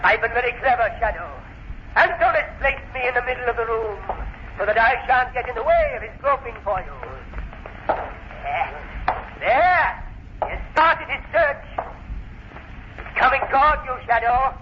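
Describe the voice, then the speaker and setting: Vengeful mad professor for a vintage radio thriller: very high, menacing, theatrical, on a noisy dull recording. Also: male voice, moderate pace, pauses often. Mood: gloating. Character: crazed, gloating crippled professor villain, bent on revenge. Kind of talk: old-time radio thriller acting. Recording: noisy muffled old radio broadcast.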